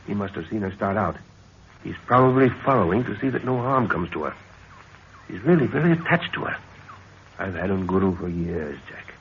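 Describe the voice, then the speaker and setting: A paternal, blustery older professor speaks in a low, insistent voice. It is 1930s radio adventure acting on a dull recording with light noise. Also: male voice, lively intonation, normal speed. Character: older professor father, dismissive, eagerly obsessed with mysteries.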